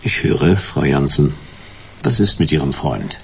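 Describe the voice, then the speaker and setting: A wry private detective in a radio crime play. The voice is deep and creaky, dryly ironic in short clipped phrases, with lively melody over a slightly muffled recording. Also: male voice, unhurried, slight background noise, full character voice. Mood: wry questioning. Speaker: detective and narrator. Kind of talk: radio play, first-person noir narration.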